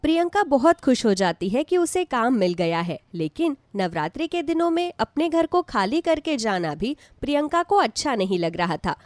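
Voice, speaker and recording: Casting: female storyteller for devotional tales, lively melodic reading, high register. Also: moderate pace, hardly any pauses, no room sound. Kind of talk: scripted devotional story narration.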